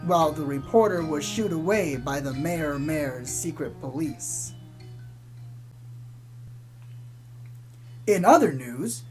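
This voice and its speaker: Casting: woman as blithe small-town pony newsreader for spoof radio drama, lowish voice, measured and deadpan, faint music underneath. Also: husky. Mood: deadpan, ominous. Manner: measured, deadpan about sinister things. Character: calm small-town pony radio host, blithely reporting eerie doom. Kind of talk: scripted spoof community radio news narration.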